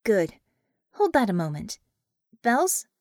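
A woman voicing a small young female magician-technician who explains things in a brisk, businesslike way, in an audiobook. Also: mid-range voice, big pitch swings, darkish tone, dry recording, clean studio sound. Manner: matter-of-fact, businesslike, explanatory.

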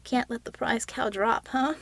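A woman voicing a tired, defiant, sharp-tongued female healer in an audiobook story. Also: a little high, steady tone, unhurried, gravelly, breathy edge, no echo, exaggerated voice. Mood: angry sarcasm.

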